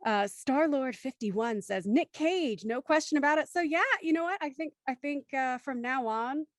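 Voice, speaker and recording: A woman's voice: high voice, normal speed, no room sound, studio quality. A woman hosting a live audio show and reading scripted narration, addressing her listeners directly and in an organised way. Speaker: host and narrator.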